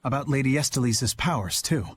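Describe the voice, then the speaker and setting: An actor playing an earnest, principled young knight captain in a video game, speaking in a measured, thoughtful way. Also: male voice, mid-range voice, slight background noise, close to an everyday voice. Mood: solemn. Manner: measured and reflective, rising to a rousing rally. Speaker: knight commander.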